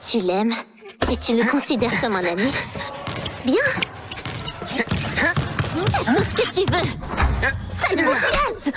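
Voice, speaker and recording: A woman's voice: high voice, very animated, slow, long pauses, full character voice. A woman playing a haughty, jealous teenage girl in an anime fan dub, blunt and scornful. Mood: accusing. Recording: long reverb, music underneath.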